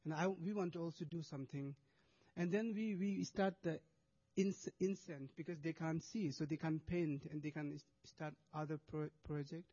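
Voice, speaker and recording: Halting man giving a presentation. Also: fairly high pitch, steady tone, dark tone, highs cut off. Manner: halting, many restarts and fillers, non-native English. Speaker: monastic speaker presenting charity work. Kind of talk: presentation to an audience with video.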